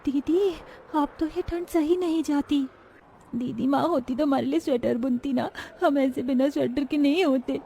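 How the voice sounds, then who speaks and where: Woman voicing a high-pitched, pleading orphan girl. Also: normal speed, vocal fry, warm tone, a little hiss or hum. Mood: plaintive. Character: poor orphan girls, earnest, plaintive young sisters. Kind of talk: Hindi moral-story cartoon dialogue.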